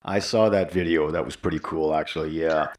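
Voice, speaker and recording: A man's voice: extremely low pitch, fairly even intonation, brisk. A man hosting a podcast and interviewing a guest conversationally.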